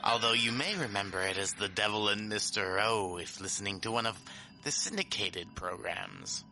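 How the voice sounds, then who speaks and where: Campy gleeful gravekeeper horror host, sharp and all over the place, music underneath. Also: male voice, on the low side, normal speed. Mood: gleefully macabre. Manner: theatrical, wildly swooping delivery. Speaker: podcast host introducing old radio episodes.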